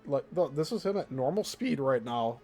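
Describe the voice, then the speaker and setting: A man's voice: mid-range voice, expressive, moderate pace, darkish tone, faint music behind. A man with a Midwestern accent hosting a live stream, commenting bluntly and with exasperation on clips he plays. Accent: Midwestern American. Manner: blunt, exasperated, laughs often. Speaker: show host.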